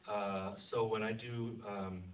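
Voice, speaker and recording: A man speaking as a film director at an audience Q&A, answering off the cuff and haltingly as he thinks aloud. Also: on the low side, flat delivery. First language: Vietnamese or English, trilingual. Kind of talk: unscripted Q&A answers. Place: screening venue with audience. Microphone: distant handheld phone camera. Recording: phone-like muffled audio, distant, faint noise.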